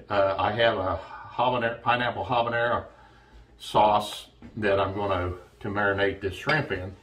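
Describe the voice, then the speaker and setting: A man in his sixties giving a casual, halting cooking demonstration as host, recorded from a distance in a home kitchen. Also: fairly low pitch, a bit bright. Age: 60s. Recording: echoey kitchen, distant mic, faint noise.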